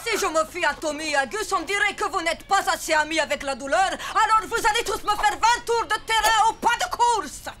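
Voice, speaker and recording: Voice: very high-pitched, fairly quick, hardly any pauses, sharp tone, slight background noise. An actor in a French cartoon dub playing a strict, pain-loving gym teacher with a commanding, grandiose manner. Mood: stern, relishing.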